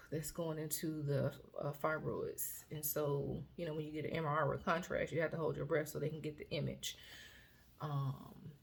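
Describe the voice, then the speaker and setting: A woman vlogger chatting casually to her viewers, rambling with fillers and restarts, her voice a little hoarse. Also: sits low. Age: adult student. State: slightly hoarse. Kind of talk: casual personal vlog update.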